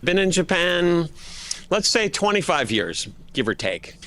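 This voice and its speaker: A man's voice: high-pitched, sing-song, unhurried, bright, forceful tone. A middle-aged man giving a casual account of himself as an interviewee. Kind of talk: casual spoken self-introduction.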